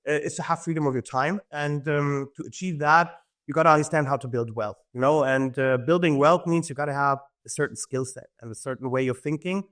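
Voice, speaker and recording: A man, a podcast guest talking in an easygoing way with frequent chuckles and fillers. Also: fairly high pitch. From Germany. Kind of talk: casual interview talk.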